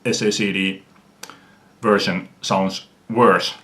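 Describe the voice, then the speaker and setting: A man from Finland with a Finnish accent, talking earnestly about his music collection in an unhurried video response, pausing often. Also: sits low, fairly even intonation, fairly bright tone. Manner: earnest, unhurried, frequent "uh" pauses. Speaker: music fan vlogger.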